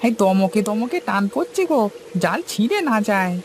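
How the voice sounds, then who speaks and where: A performer playing an anxious, grumbling young fisherman in a cartoon, with creaky, rustic, questioning speech. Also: mid-range voice, big pitch swings, normal speed, a little hiss or hum. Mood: worried. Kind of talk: Bengali cartoon story dialogue.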